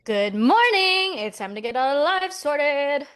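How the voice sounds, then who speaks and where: A woman's voice: sits high, animated, slow, fairly bright tone, exaggerated voice. A woman playing a skeptical, practical millennial twin sister from New York in a romcom table read. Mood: brisk.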